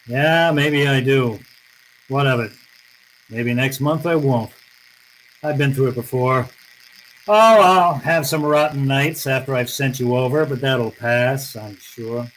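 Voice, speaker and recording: A man's voice: mid-range voice, slow, a bit bright, slight background noise, rough recording. A man playing a cynical, unyielding private detective in an old-time radio drama, with a terse, tough, accusing manner. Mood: bitter, resolute.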